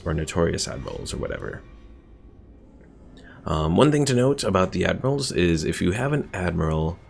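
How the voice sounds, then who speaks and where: Male streamer, low register with vocal fry, casual rambling game commentary to chat. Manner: rambling, thinking aloud. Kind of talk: casual gameplay commentary while chatting with viewers. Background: game music.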